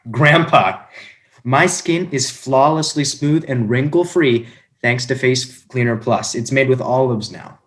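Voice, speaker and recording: A man's voice: medium pitch, slow pace, natural voice. A man in a comedic play reading, playing a vain, fussy bridegroom with a snobbish delivery. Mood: vain, disdainful. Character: vain, fussy bridegroom Romeo proud of his skin.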